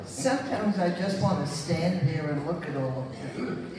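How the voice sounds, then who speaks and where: An elderly woman reverend addressing a congregation and praying in a gentle, measured way. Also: fairly low pitch, animated. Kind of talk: church greeting and prayer reading. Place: small church sanctuary. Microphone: handheld microphone, filmed from audience. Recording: echoey hall, distant, noisy.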